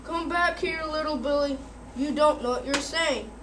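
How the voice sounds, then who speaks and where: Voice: high voice, normal speed, natural voice. A child performer playing the cautious eldest billy goat brother in a school readers theater. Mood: worried, warning. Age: child, about eight or nine. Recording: noisy room, far from mic.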